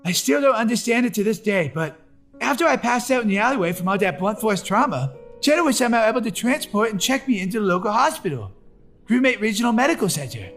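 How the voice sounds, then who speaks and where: A man's voice: high-pitched, sing-song, quick pace, fairly bright tone, soft background music, full character voice. A man voicing a dramatic, self-absorbed cartoon crewmate who tells rambling stories in a whiny, theatrical way. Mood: smug, rambling. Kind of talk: cartoon voice acting.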